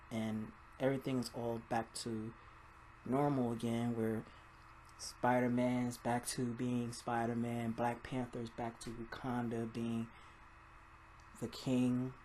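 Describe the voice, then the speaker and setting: A man's voice: medium pitch, dark tone, a little hiss or hum, low-quality recording. A man giving a casual, rambling movie review in an informal, mildly profane vlog style. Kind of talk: casual spoiler-filled film review vlog.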